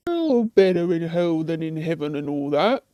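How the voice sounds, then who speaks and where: This is a woman's take on an ordinary demon who is thoroughly at ease in hell. The voice is dark and relaxed, recorded very dry. The talk wanders casually in colloquial turns, with a mild teasing edge, as in a comic puppet show. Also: low voice, moderate pace, dry recording, muffled recording. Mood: easygoing, chatty. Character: laid-back, chatty, ordinary demon lounging in hell.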